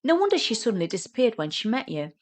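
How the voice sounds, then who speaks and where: A woman voicing a sarcastic, teasing and immature younger sister in a comic audio story, bickering and excitable. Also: on the high side, quick pace, pitched above the performer's own voice. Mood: mocking.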